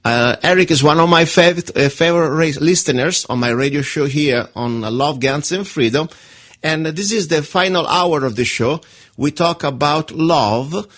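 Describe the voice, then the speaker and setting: A man with an Italian accent hosting a radio show, talking on a phone call in a halting, friendly way with many fillers. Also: a little high, a bit bright. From Italy. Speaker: radio host.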